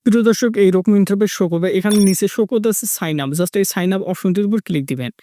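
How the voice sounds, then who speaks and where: A man's voice: high voice, fast, mellow, a little hiss or hum. A man narrating a step-by-step tech tutorial, repeating his instructions as he goes. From Bangladesh. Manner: repetitive instructional phrasing.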